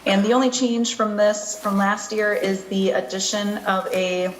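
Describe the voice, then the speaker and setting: A woman presents a bill in a measured, formal way, keeping her pitch quite flat. Her voice rings a little in the room's echo. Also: slight background noise. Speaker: county services manager. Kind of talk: formal testimony.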